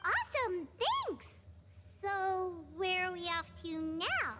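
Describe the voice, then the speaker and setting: A woman voicing a tiny, bubbly, chatty fairy companion in an anime video game, with a squeaky childlike voice. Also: expressive, takes it slowly, heavy background noise, exaggerated voice. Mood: eager. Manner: bubbly, speaks of herself in third person. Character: tiny cute chatty fairy companion, excitable, food-loving. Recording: game dialogue over loud music. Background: loud game music.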